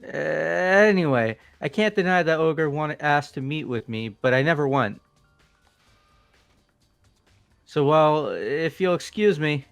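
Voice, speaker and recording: A man's voice: on the high side, animated, unhurried, vocal fry, a bit bright, smooth, faint music behind, exaggerated voice. A man voicing a goofy, bumbling, evasive young character in a video game read-through, hesitant and casual. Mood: flustered, shifty. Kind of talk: hobby video game voice acting.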